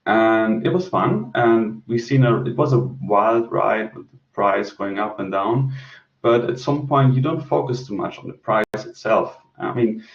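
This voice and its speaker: A man's voice: fairly low pitch, measured pace, creaky. Distant, roomy man rambling through a chat. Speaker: host and crypto project lead. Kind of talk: casual group Q&A talk. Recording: roomy, distant, dull online-call audio.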